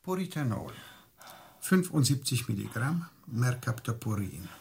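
An actor playing a cold, contemptuous KGB enforcer in a radio drama, husky and gravelly, issuing clipped commands. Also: male voice, medium pitch, sing-song, exaggerated voice. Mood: cold menace. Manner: slow, commanding, clipped threats.

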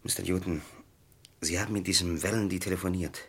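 An actor playing an anxious, desperate man accused of murder in a German radio crime drama, with a gravelly, husky voice. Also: deep voice, fairly even intonation, fast talker, fairly bright tone, full character voice. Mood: anxious, urgent.

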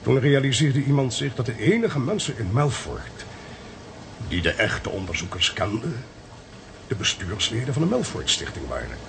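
Gravelly, emphatic Dutch intelligence agent. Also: male voice, mid-range voice, very animated, normal speed, noisy background. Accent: Netherlands Dutch. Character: authoritative male intelligence agent explaining a spy plot. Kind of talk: Dutch radio play acting.

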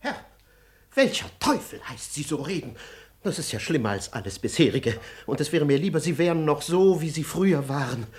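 A man's voice: a little high, very animated, fairly quick. Give a fretful manservant, who moralizes and pleads with his master. The delivery is plaintive and theatrical, in the manner of classical comic radio drama. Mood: alarmed, pleading. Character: anxious, moralizing, lamenting manservant of a nobleman. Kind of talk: classical radio play acting.

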